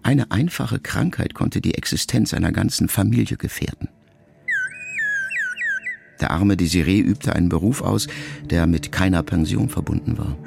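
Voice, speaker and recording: A man acting in a literary radio play as a grown, middle-aged son who quietly and introspectively addresses his mother in measured phrases. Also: deep voice, vocal fry. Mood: reflective, remembering. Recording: music behind the voice. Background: music.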